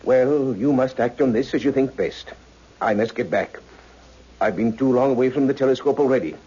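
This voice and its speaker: A man's voice: medium pitch, moderate pace, dark tone, breathy edge, close to an everyday voice. A man acting a sensible, plain-spoken astronomer in an old radio science fiction drama, reassuring in manner. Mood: businesslike. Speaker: supporting actor in radio serial. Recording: muffled, dull old radio recording.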